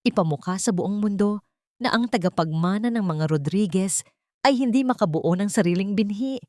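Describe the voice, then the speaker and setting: A woman's voice: medium pitch, animated, normal speed, dark tone, no echo, studio quality, natural voice. A woman voicing a proud, defiant older society matriarch in an audio story drama.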